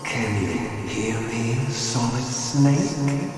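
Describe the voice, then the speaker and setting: Bitter grandiose game villain boss, slow and brooding, swoopy voice, echoey. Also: male voice, medium pitch, lively intonation. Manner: theatrical, brooding, deliberate. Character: grandiose, bitter male terrorist commander, villainous twin. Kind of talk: dramatic video game voice acting. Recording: echoing, long reverb, music behind.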